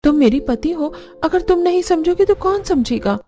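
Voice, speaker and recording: High-pitched aggrieved young Indian housewife, acted by a woman. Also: expressive, moderate pace, mellow. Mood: aggrieved, complaining. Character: young daughters-in-law and pampered daughter, aggrieved, pleading. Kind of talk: Hindi cartoon family drama dialogue.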